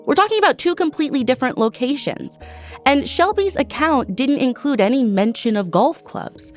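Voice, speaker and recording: A woman's voice: sits high, lively intonation, moderate pace, soft background music. An American woman hosting a true crime podcast, reading a scripted narration of a case.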